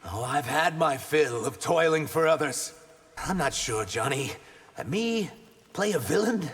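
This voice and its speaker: A sincere, guarded young lizard-man fighter from a video game. His fairly high voice moves up and down a lot, echoing in a long reverb over some background noise. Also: lively intonation, measured pace, fairly bright tone, husky, exaggerated voice. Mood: earnest, guarded. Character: earnest young reptilian shapeshifter warrior, wary and sincere.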